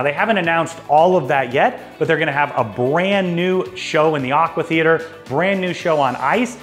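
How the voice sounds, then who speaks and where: A man in his forties hosting a travel show, talking to camera in an upbeat, salesy way with personal asides, on a lapel mic in a studio. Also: fairly high pitch. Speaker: travel agency host. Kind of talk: enthusiastic cruise overview to camera. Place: dark studio backdrop, indoors. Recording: faint background music.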